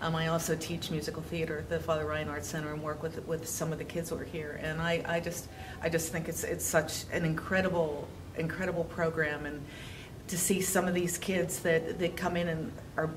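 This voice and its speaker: A mature woman who teaches theater talks informally about her work. Her voice sits slightly low, with clear ambient noise around her. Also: flat delivery, brisk. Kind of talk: informal interview testimonial.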